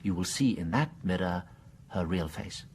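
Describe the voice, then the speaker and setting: A gentle, apologetic British priest detective in a radio mystery. His lowish voice rises and falls expressively as he hesitates politely, over faint background noise. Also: lively intonation, moderate pace. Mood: quietly insistent. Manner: mild, hesitant, stammering politely.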